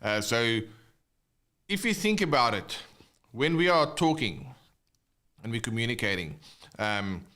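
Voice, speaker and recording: Clear-voiced South African man teaching live. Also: medium pitch, sing-song, measured pace, pauses often, bright, forceful tone. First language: not English (English is second language). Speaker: guitar teacher, livestream host. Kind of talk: casual live online lesson, chatting with viewers.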